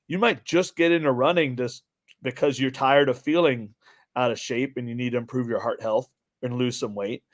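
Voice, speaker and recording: A man speaks as a podcast co-host in a voice of middling pitch. His delivery is conversational and rambling, looping through what he said to people as he tells it. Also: fairly even intonation, moderate pace. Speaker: podcast co-host, fitness coach. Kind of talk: casual conversational storytelling.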